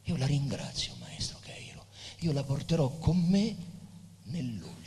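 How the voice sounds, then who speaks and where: An actor playing a weary, reverent dying poet in a theatrical reading, declamatory yet hesitant, with phrases that fade toward whispers. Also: male voice, mid-range voice, wildly swooping pitch, a little slow, mellow. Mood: solemn, grateful. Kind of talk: theatrical monologue with narration, live literary stage reading. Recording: reverberant hall with music.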